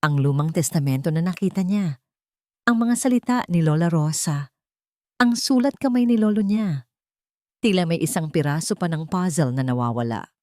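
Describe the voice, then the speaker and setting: A woman narrating a sentimental drama from a script in a measured, solemn storytelling manner. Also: deep voice, lively intonation, a little mellow, no echo, studio quality.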